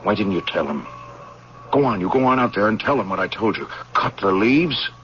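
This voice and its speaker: An actor playing a bitter, haunted army major in an old-time radio drama, grim and stubborn. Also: male voice, very animated, a little fast, a bit bright. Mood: resentful, insistent. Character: bitter, wounded army major, haunted former war prisoner. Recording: muffled, noisy old radio broadcast, vehicle rumble.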